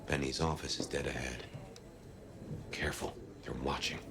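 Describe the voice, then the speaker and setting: A man voicing a gruff, world-weary detective who guides and cautions in a video game, murmuring and laconic. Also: very deep voice, steady tone, moderate pace, background noise. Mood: cautious, guiding. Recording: loud music underneath.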